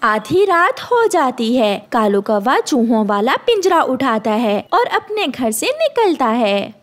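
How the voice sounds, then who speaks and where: A woman's voice: high-pitched, big pitch swings, slow pace. A woman narrating a scripted children's story.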